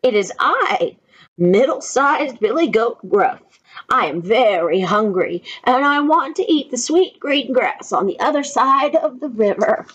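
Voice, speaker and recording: A woman's voice: a little high, animated, a little slow, husky, lo-fi sound. A woman voicing a timid, polite young male billy goat in a children's storybook tale. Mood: plain, hungry. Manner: polite, pleading.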